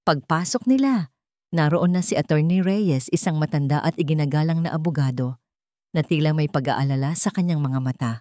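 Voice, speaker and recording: A woman's voice: sits low, a little fast, warm tone, no echo, clean studio sound. A woman reading a scripted dramatic story as its narrator.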